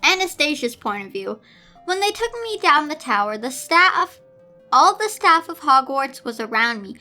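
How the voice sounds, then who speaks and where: High, bright-voiced woman doing a theatrical read-aloud. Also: very animated, normal speed, soft background music. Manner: theatrical, incredulous, sarcastic asides. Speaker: host reading fan fiction aloud with commentary. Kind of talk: dramatic read-aloud with exasperated commentary.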